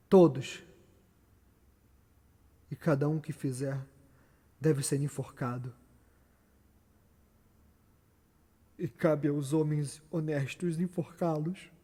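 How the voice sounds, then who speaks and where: A man's voice: a little high, husky. An actor playing a brooding, guilt-haunted murderous king in a solemn, declamatory theatrical monologue. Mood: cold, menacing. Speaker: stage actor. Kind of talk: dramatic theatrical monologue of Shakespeare. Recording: long hall reverb under loud music.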